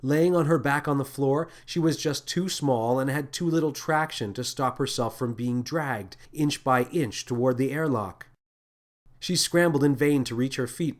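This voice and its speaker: A man with a medium-pitched voice narrates a science fiction adventure. His delivery is scripted and dramatic, in the manner of an audiobook storyteller. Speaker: author and narrator.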